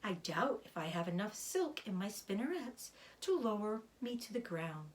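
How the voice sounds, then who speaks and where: A woman voicing a gentle, weary, dying female spider in a children's storybook, softly and slowly, often near a whisper. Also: mid-range voice, big pitch swings, natural voice. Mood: weak, resigned. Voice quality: soft, breathy, often whispered. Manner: slow, measured, soothing delivery. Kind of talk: children's storybook read-aloud.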